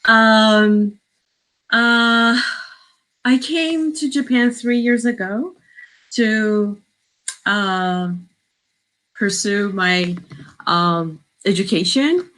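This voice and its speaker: A woman from Iran whose first language is Persian, speaking English as a guest on a video call in a slow, hesitant way with frequent laughter. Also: a little high, a bit bright, low-quality recording. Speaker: guest, doctoral student and English teacher.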